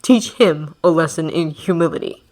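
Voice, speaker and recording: Woman doing a soft-spoken, scheming male villain. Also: deep voice, moderate pace, natural voice. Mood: coaxing. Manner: even, soft, coaxing.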